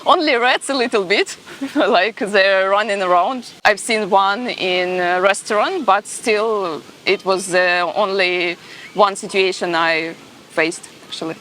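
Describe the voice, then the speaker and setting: A young woman answering interview questions outdoors, speaking carefully with pauses. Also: mid-range voice, fairly bright tone, a little hiss or hum, low-quality recording. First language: Ukrainian. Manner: careful, pausing, simple phrasing. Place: beach outdoors.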